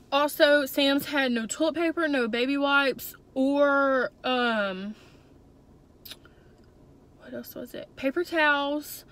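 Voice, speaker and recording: A woman's voice: high-pitched, fairly bright tone, clean tone. Chatty young woman vlogging from her car. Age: young adult. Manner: chatty, rambling, many "um" and "like". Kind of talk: casual vlog chat. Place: inside a parked and moving car. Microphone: phone camera on the dashboard.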